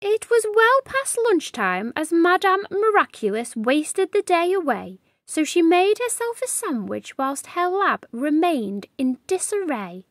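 A woman reads a children's story in a high voice with sweeping, animated intonation. She takes her time over the phrases, and the sound is dry and close. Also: no room sound. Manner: animated, deliberate storytelling delivery. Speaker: children's storybook reader and host. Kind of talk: expressive read-aloud storytelling.

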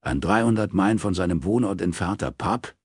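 A man's voice: deep voice, expressive, a little fast, breathy edge, dry recording, studio quality, pitched above the performer's own voice. A man voicing a terse, unflappable private detective in an audiobook, laconic and stubborn. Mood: dry, stubborn.

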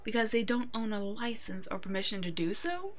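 A woman playing a kind, protective werewolf in an ASMR roleplay drama, speaking gently and reassuringly as if to a child. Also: mid-range voice, big pitch swings, fairly quick, lightly acted. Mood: indignant, protective. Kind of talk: ASMR roleplay audio drama, one-sided dialogue.

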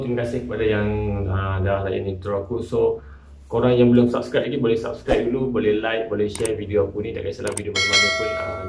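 Young adult man, Malaysian accent, casual vlogger, admonishing, repeats his points, echoey room, distant mic. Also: lowish voice. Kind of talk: casual reaction commentary to camera. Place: small bare-walled room indoors.

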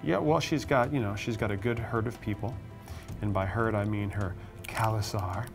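A man's voice: fairly low pitch, normal speed. Joking male host over music. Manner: joking, conversational. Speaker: host of a cooking and TV recap show. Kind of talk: casual banter while cooking. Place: studio set at a table, indoors.

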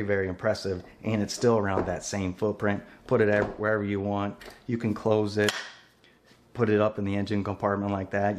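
A man's voice: on the low side, darkish tone, a little hiss or hum. A man giving a casual hands-on demo of work lights in his garage workshop, speaking plainly and repeating filler phrases. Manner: plain-spoken, repeats "et cetera there". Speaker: tool reviewer.

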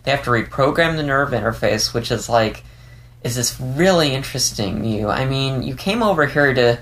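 A man's voice: medium pitch, animated, normal speed, a bit bright, pitched above the performer's own voice, lightly acted. A man voicing a playful, candid young male fox in an audiobook story, rambling with hesitant stammers. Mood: exasperated, self-conscious.

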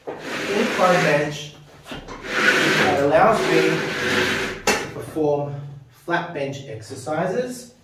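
A man's voice: a little high, very noisy. A man in his thirties hosting a casual home gym walkthrough, chatty and rambling, often tagging his sentences with "right?". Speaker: fitness video host. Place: small home gym with power rack, indoors. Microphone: camera mic from a distance. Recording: very echoey room, camera far off.

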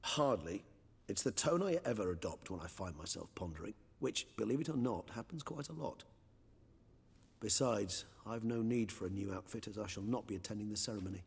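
An actor playing an erudite, courteous older scholar in a video game, wry and reflective, speaking eloquently and formally. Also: male voice, fairly low pitch, animated, vocal fry, husky, in a large hall, heavily acted. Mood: pensive. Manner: eloquent, formal, measured, wordy. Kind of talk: dramatic video game acting.

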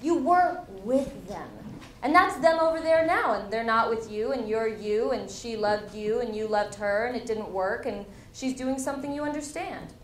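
A woman's voice: fairly high pitch, normal speed, distant mic. A young woman reading comedic writing aloud to a live audience. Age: young adult. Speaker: guest reader at a live event. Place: crowded dim indoor bar venue. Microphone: stand microphone at a lectern. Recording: echoey room, noisy audience. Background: audience noise and laughter.

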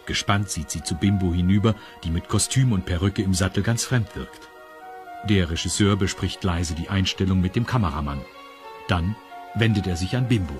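A man with a husky voice narrating a scripted children's radio story. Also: deep voice, moderate pace, music in the background.

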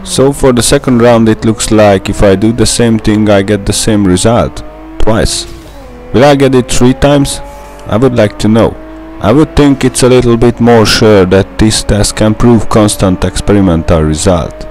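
A man's voice: fairly low pitch, normal speed, a little mellow, faint music behind. A young man with a Hungarian accent, a native Hungarian speaker, narrating a gameplay tutorial in a careful, methodical way. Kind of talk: scripted gameplay voice-over.

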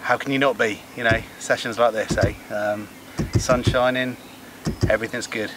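A man's voice: medium pitch, steady tone, normal speed. A man chatting casually and informally to camera as a fishing vlogger. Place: outdoors on a wooded lake bank. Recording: outdoor nature noise behind voice.

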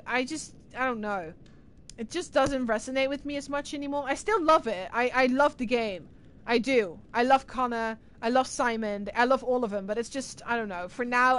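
A woman's voice: fairly high pitch, a bit bright, slight background noise. A young woman with an Irish accent, chatting casually on a livestream from a room at home, rambling as she answers chat messages. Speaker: streamer.

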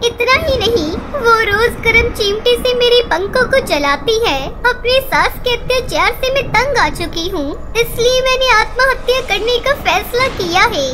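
A woman's voice: very high voice, a little slow, few pauses. A woman voicing a despairing young married female bird in a cartoon, tearful and pleading. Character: despairing, abused young daughter-in-law bird.

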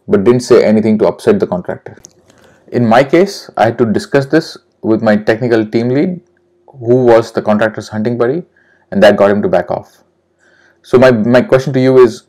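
Male podcast host, lower pitch, plain reading of prepared questions. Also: a little mellow.

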